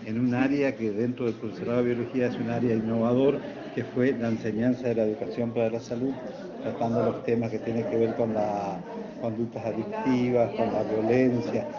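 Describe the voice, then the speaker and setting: An older man with a northeastern Argentine accent answers interview questions as a retired professor, in an earnest, explanatory and halting manner. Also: medium pitch. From Puerto Iguazú, Misiones, Argentina. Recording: dull lo-fi, loud crowd chatter.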